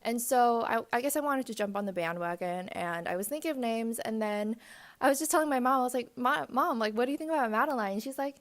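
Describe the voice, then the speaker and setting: A young woman, giving relaxed, chatty interview answers with fillers. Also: fairly high pitch, fast talker. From Korea, raised in the United States. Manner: chatty, with fillers and light laughs. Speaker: interviewee, student.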